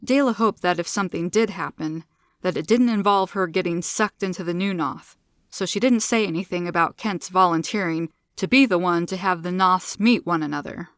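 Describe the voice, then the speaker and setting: A woman's voice: on the low side, normal speed, no echo. Dry-sounding woman narrating dramatic fiction. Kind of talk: scripted dramatic story narration.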